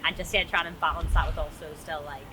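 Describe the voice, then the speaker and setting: A woman's voice: low-pitched, steady tone, fast. A woman in her twenties chatting casually as an interviewee in a street interview. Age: 20s. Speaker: interviewee, junior doctor. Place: outdoors in a city street. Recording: distant, loud traffic noise.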